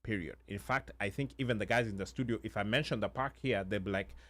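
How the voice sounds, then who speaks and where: A man, a co-host telling a story with many pauses and fillers. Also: on the low side. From Kenya. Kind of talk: casual conversation.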